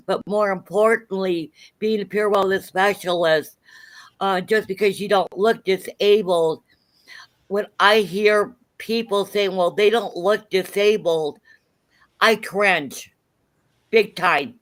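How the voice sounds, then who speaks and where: Woman in her sixties on a stroke panel, earnest and halting with ums. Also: medium pitch. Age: 60s. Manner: earnest, many ums and restarts. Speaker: peer wellness specialist on a stroke panel. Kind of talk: casual panel chat.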